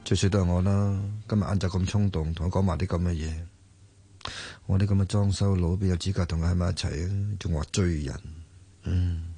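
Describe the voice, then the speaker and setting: An actor playing a stubborn, self-doubting young decorator who talks gruffly in Hong Kong Cantonese. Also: male voice, low voice, steady tone, fairly quick, warm tone, faint music behind. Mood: gloomy. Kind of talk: radio drama acting.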